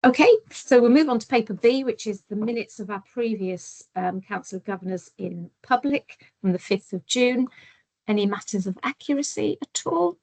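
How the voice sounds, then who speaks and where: A woman's voice: fairly high pitch, a little mellow, no room sound, low-quality recording. Courteous woman chairing a formal meeting. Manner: courteous, brisk, with frequent thanks.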